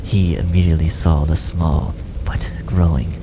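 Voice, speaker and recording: A man narrating a horror story from a script in a dramatic, gravelly voice that often sinks toward a breathy hush. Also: extremely low pitch, steady tone, moderate pace, background noise. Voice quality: gravelly, often dropping to breathy near-whisper.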